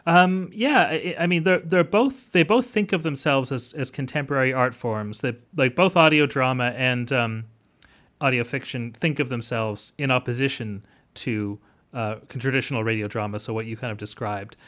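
A man's voice: mid-range voice, normal speed, fairly bright tone. A man speaking as an academic interview guest, explaining things thoughtfully and hesitantly in scholarly wording. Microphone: remote line.

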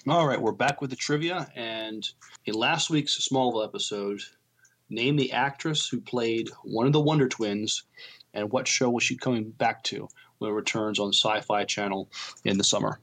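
A man co-hosting a podcast in casual chat, with a hesitant, halting delivery. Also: fairly low pitch, a bit bright. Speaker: podcast co-host.

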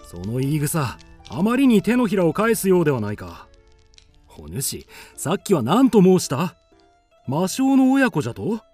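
An actor playing a brave, earnest young samurai of old Japan, using archaic warrior speech in a radio drama. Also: male voice, on the high side, wildly swooping pitch, normal speed, a little mellow, soft background music. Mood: indignant.

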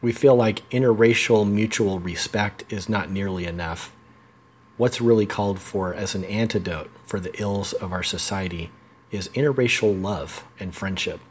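A man hosting an interview, reading an earnest, measured introduction. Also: fairly low pitch, a little hiss or hum. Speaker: podcast host and interviewer. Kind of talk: scripted introduction then casual interview.

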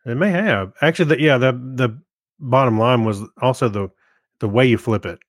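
A man's voice: medium pitch, moderate pace, no room sound, clean studio sound. A man co-hosting a podcast in casual, conversational chat. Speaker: podcast co-host.

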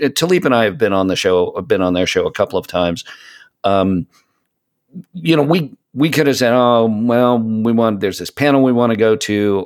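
A man in his late fifties with a fairly low voice talks casually on a podcast. He is reflective and conversational, often halting as he gathers his thought. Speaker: podcast co-host. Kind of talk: casual chat about gaming.

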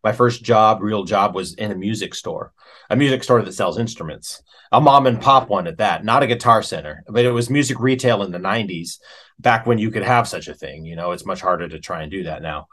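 A man's voice: fairly low pitch, fairly quick, fairly bright tone. Middle-aged American man, casual musician storytelling. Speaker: musician interview guest, organist and composer.

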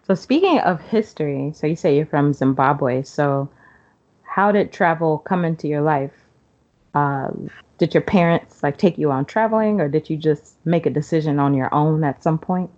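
A woman's voice: low-pitched, lively intonation, moderate pace, a little mellow, dull audio. A woman hosting a podcast, asking her guest questions in a conversational way. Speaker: podcast host, interviewer. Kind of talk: conversational interviewing.

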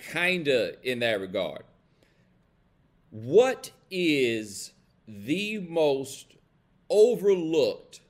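An American man hosting a casual, opinionated livestream, talking bluntly and colloquially with emphasis and checking in with his listeners. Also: mid-range voice. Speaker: host of a live commentary show.

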